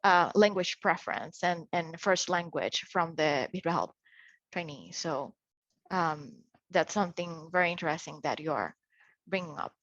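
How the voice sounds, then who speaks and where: Lady moderating a video panel, lowish voice, lots of ums, dull dry audio. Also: moderate pace, a bit bright, no room sound. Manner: thoughtful, hesitant with fillers. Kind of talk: panel discussion.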